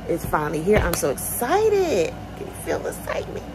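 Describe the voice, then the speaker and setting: A woman's voice: lowish voice, huge pitch swings, fairly quick, background noise. A woman, chatting casually to her vlog viewers and calling them y'all. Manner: chatty, addresses viewers as y'all, many fillers. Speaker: vlogger. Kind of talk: casual vlog chat to camera. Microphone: phone.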